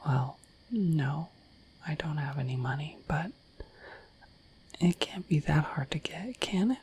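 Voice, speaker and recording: A woman voicing a shy, affectionate, dorky dragon in a soft, breathy, halting ASMR whisper, close to the microphone. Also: low-pitched, expressive, full character voice, low-quality recording. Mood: bashful, curious. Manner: halting, gentle, earnest. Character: shy, dorky, affectionate female dragon, naive about humans.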